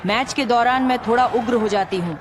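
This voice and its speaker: A woman's voice: fairly high pitch, a little fast, background noise, lightly acted. A woman voicing a bold young fighter girl. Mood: eager. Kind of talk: dubbed game cutscene. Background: loud game music.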